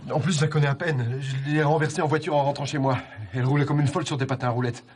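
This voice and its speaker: An actor playing an earnest, polite academic who is hesitant and easily rattled, in naturalistic film style. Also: male voice, mid-range voice, steady tone, very fast, noisy background, lightly acted. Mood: flustered, explaining. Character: earnest, flustered academic man working among police. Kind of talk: naturalistic French film acting.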